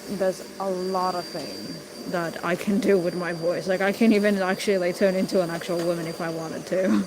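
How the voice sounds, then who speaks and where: A young man with a raspy voice chatting casually while he draws on a stream, rambling with lots of "like" and "you know". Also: sits high, fairly even intonation, moderate pace. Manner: meandering, full of "like" and "you know". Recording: noisy room ambience.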